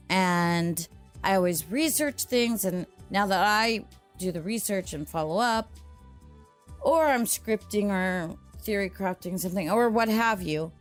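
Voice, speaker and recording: A woman host speaks at an unhurried pace in a medium-pitched voice. She chats casually to her audience and drifts loosely from point to point, with music behind her. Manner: chatty, digressive. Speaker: host and story narrator. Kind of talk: casual rambling sign-off chat to listeners.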